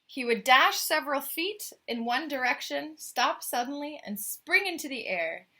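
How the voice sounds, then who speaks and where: Bright-voiced woman reading a picture book aloud. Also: high voice, normal speed. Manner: animated, questioning asides to young listeners. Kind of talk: expressive children's read-aloud with chatty asides.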